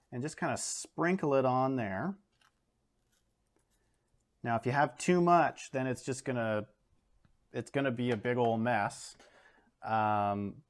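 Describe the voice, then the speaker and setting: Relaxed man demoing watercolour. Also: medium pitch. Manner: relaxed, talks through steps with many fillers. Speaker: art educator. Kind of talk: casual art demo. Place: home studio, indoors.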